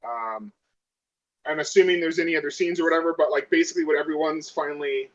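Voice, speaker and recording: Higher-voiced man in dull lo-fi audio. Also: fast talker. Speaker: player in a tabletop role-playing game. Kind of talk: casual tabletop roleplay, describing character actions.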